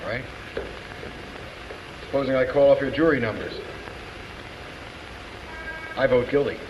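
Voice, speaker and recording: A man's voice: medium pitch, a little slow, lightly acted. Actor as a businesslike foreman, noisy recording. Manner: procedural, matter-of-fact. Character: businesslike, orderly male jury foreman. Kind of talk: 1950s live television drama acting. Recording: noisy 1950s television broadcast with hiss.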